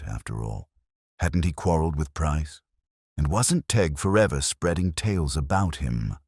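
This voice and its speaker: A man narrating a detective mystery in a measured, wry storytelling manner. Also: rumbling low, husky, no echo, studio quality.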